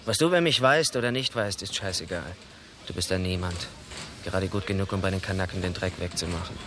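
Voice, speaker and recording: A sneering, threatening gangster in a radio play. His voice is deep and his delivery blunt and contemptuous. Clear background noise and ambience sit behind him. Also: quick pace, fairly bright tone. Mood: sneering menace. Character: menacing male gangster, contemptuous, cocky, threatening. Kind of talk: radio drama dialogue.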